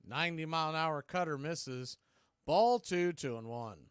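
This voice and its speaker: Male baseball announcer, higher-pitched, smooth clear voice, dry close sound, clipped live play-by-play. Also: normal speed, no echo. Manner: clipped phrases, calls rising on the action. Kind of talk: live play-by-play commentary on a stream.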